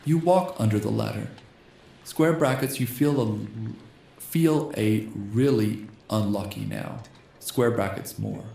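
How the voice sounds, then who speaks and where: A slightly hoarse man hosting a gaming channel, reading a text adventure game aloud in a soft ASMR style and speaking the punctuation marks. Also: fairly low pitch, slow, echoey room, slight background noise. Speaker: host.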